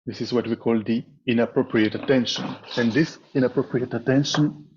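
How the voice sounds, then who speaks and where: A man leading a guided meditation as a teacher, speaking in a measured way with pauses between short phrases over a slightly dull video call. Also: medium pitch, fairly even intonation, a little mellow, husky. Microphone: video call microphone.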